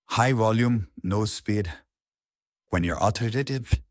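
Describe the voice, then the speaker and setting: A man's voice: on the low side, measured pace, no room sound, studio quality. A man speaking as a coach, giving an informal, explanatory lesson on public speaking.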